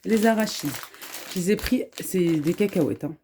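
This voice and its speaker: Soft-spoken woman, hushed home vlog. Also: lowish voice, normal speed, slight background noise. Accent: French with a slight Arabic-influenced lilt. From France. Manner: speaks softly, hushed so as not to wake children; chatty, many fillers, calm and earnest. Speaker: family vlogger. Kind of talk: casual haul vlog. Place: home kitchen, indoors.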